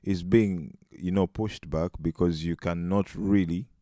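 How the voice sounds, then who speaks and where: A man with a Ugandan accent reflects thoughtfully in a podcast discussion, framing his points as questions. His voice is very low and dark, with a mellow warmth, and it is recorded close and dry. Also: fairly even intonation, unhurried, no echo. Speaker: podcast guest.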